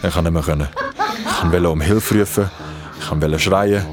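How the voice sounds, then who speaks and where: A man's voice: low-pitched, moderate pace, natural voice. An actor with a Swiss German accent playing a shaken, foul-mouthed young man recounting his ordeal in an audio drama. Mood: shaken, haunted. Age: young adult. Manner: colloquial, swearing, dramatic. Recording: music behind the voice.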